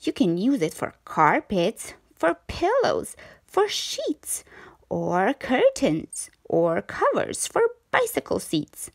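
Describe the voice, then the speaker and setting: A woman's voice: fairly high pitch, wildly swooping pitch, slow, vocal fry, breathy edge, no room sound, studio quality, heavily acted. A woman voicing an eager, self-satisfied inventor and salesman in a children's storybook, with breezy sales patter. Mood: breezy, boastful.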